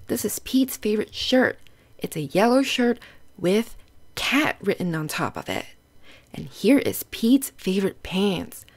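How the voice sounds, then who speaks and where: A woman's voice: medium pitch, darkish tone. A woman hosting a children's storybook read-aloud, explaining things in simple, instructive phrases and putting questions to young listeners.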